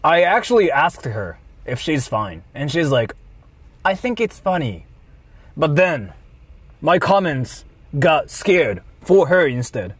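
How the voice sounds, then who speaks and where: Male streamer, higher-than-average pitch, slow deliberate delivery in broken phrases, mock-apologetic monologue. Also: very animated, breathy edge, dry recording. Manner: deliberate, halting short phrases. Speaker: livestreamer.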